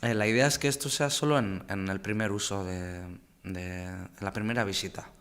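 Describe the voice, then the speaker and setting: A man's voice: lowish voice, fairly even intonation, normal speed, a bit bright. A man presenting in a webinar, explaining language settings for websites with many fillers and restarts, over a video call. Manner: frequent fillers and self-corrections. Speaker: webinar presenter on web setup. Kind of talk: hesitant technical explanation.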